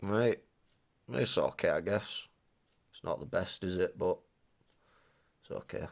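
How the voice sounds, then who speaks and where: A man chatting casually while opening trading cards, sounding a little bunged up with a cold and rambling excitedly. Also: deep voice, unhurried, creaky. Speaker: card-opening vlogger. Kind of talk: casual unboxing chat.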